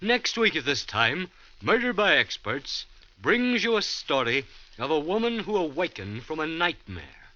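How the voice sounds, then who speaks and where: A male host from old-time radio with a fairly high, piercingly bright voice. He reads his script theatrically and formally, with lively swings of pitch, on a muffled vintage recording. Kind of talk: 1940s radio scripted narration.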